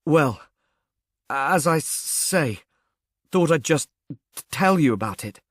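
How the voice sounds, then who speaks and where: A man voicing a shy, bewildered young man with a halting, slightly stammering delivery in a mystery audiobook. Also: fairly high pitch, lively intonation, fairly bright tone, no echo, clean studio sound, heavily acted. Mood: self-conscious. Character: bashful, bewildered young man, haplessly miserable. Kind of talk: audiobook character voice, British mystery novel.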